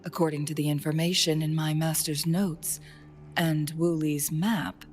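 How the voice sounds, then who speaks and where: An actress playing a composed, thoughtful young female strategist in a game, speaking calmly with a soft breathy edge. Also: deep voice, soft background music. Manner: calm, measured, softly breathy. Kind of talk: video game cutscene dialogue.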